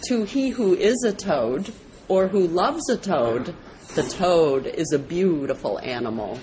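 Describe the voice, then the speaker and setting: A woman's voice: lowish voice, expressive, measured pace, noisy background. A woman reading scripted animal fables aloud as a storyteller at a public program.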